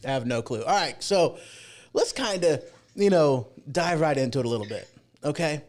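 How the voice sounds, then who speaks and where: Male livestream host, a bit high-pitched, loose and informal, chatting off the cuff. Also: expressive, moderate pace, darkish tone. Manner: rambling, informal. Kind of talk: casual chat. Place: home podcast studio.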